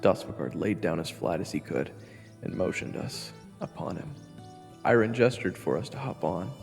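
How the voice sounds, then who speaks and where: Guy as a cheeky nervous young hero narrating fantasy, lots of pitch swings, reverby, bit of music under. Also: sing-song, moderate pace, gravelly, a little mellow, full character voice. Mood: reflective. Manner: casual, wry asides. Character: young orphan hero, cheeky, anxious, self-deprecating narrator. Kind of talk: first-person fantasy audio drama narration.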